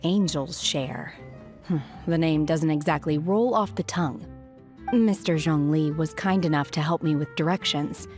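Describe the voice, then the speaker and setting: A woman voicing an aloof, earnest young hermit woman in a video game, speaking in a solemn, measured and literal-minded way. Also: sits low, darkish tone, faint music behind. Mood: puzzled, reserved. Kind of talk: video game cutscene acting.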